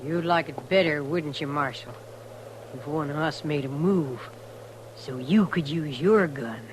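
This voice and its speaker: Slow, bitter old frontier woman. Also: low voice. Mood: weary, defiant. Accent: American. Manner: slow, folksy, rustic speech. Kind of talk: 1950s radio western acting. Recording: noisy vintage radio drama recording.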